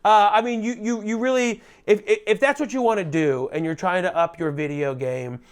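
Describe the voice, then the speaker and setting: A loud, chatty man giving a casual product tutorial, speaking into a lavalier mic clipped under his shirt. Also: sits high, normal speed, clear, resonant tone. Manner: loud, chatty, self-deprecating asides. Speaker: gear reviewer.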